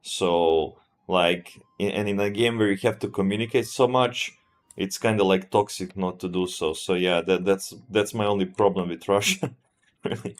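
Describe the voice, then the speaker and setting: A man with a Serbian accent, a streamer thinking aloud as he plays a strategy game in a relaxed, halting way and answering chat. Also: low voice. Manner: relaxed, thinking aloud, often trails off and restarts. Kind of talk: live gameplay commentary.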